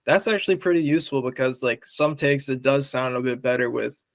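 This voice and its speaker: A man's voice: mid-range voice, steady tone, brisk, dry recording, clean studio sound. A young man interviewing in a casual, loosely phrased, informal way with lots of filler words. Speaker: interviewer and comic writer.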